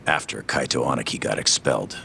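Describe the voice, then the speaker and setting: An actor playing a bitter, defiant yakuza gangster with a gravelly voice in a dramatic game dub. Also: male voice, a bass voice, a little fast, bright, forceful tone, a little hiss or hum, full character voice. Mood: subdued, resigned. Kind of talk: English video game dub, dramatic acting.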